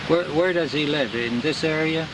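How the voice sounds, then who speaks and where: A man's voice: fairly high pitch, normal speed, noisy background. A man asking questions informally and hesitantly, repeating words, recorded outdoors on 1970s reel-to-reel video. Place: outdoors beside a pond.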